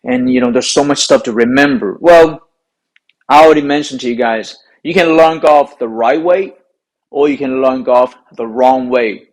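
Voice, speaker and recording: A man's voice: medium pitch, moderate pace, darkish tone, poor audio. Chinese-accented man coaching golf. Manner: earnest, repetitive, emphatic. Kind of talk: instructional talk to camera.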